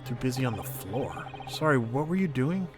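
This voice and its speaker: A man's voice: medium pitch, very animated, moderate pace, music in the background, natural voice. A man voicing an earnest, uncertain young student on trial in a game fan dub, with a questioning manner. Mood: curious, probing. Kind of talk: video game fan voice acting.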